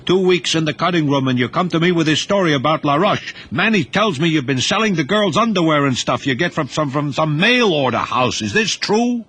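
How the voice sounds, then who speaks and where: A man voicing a gruff, stern middle-aged businessman uncle in an audio drama. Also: fairly high pitch, lively intonation, brisk, few pauses, fairly bright tone, voice pushed up. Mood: exasperated.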